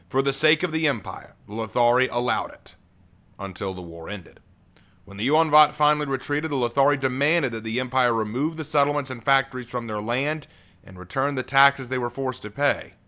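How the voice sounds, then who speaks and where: Deep-voiced man reading his own story. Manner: relaxed, with fillers and restarts. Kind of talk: casual stream chat, then reading a prologue aloud.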